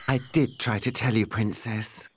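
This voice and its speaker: A man playing a kindly, wry storybook narrator in a children's cartoon, measured and indulgent. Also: lowish voice, fairly even intonation, very noisy, close to an everyday voice. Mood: gently rueful. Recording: loud background music.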